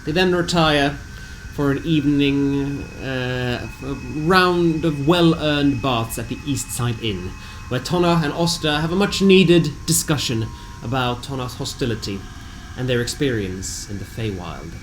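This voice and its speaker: A man reading a scripted fantasy game recap as a storyteller, with deliberate, theatrical phrasing. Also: mid-range voice, slight background noise, rough recording. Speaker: narrator of a tabletop game session recap. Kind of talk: scripted dramatic storytelling narration. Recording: music bed behind the voice. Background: background music.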